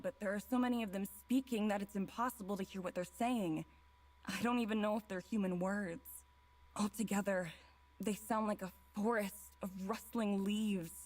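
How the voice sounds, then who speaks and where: A woman reading a visual novel's dialogue and first-person narration aloud. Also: mid-range voice.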